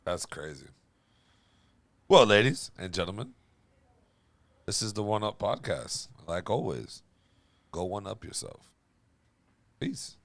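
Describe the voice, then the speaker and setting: A man with a somewhat low voice hosts a casual podcast, recorded dry and close. He is blunt and conversational, with swearing mixed in. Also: big pitch swings, slow, pauses often, no room sound. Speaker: podcast host. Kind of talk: casual group podcast chat.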